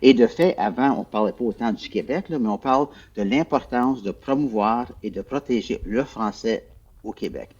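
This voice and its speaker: A man, a former official, answering interview questions in a measured, explanatory way, often repeating words as he searches for them. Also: medium pitch, a little mellow, breathy edge, lo-fi sound. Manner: measured, explanatory, hesitant repetitions.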